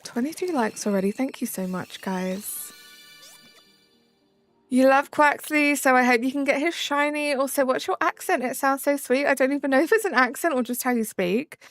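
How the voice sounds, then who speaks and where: A woman's voice: sits high, normal speed, dry recording, soft background music. A young woman with a Southern English accent, chatting casually on a live stream and answering viewers' questions. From Southern England. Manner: chatty, answers chat with fillers like "um" and "like". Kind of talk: casual live-stream chat reading comments.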